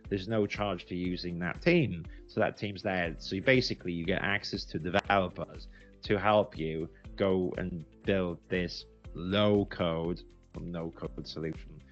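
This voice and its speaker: A British man with a deep, gravelly voice talks through his experience in a casual, unscripted way. He explains conversationally, doubling up words for emphasis. Faint music plays behind him. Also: muffled recording. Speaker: recruitment agency founder giving a software review.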